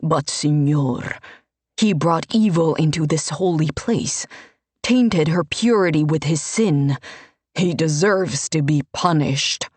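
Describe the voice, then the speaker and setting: A woman voicing a sanctimonious, scheming male priest with an oily, pompous delivery, spoken slow and low. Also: darkish tone, husky, dry recording, full character voice. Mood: pleading.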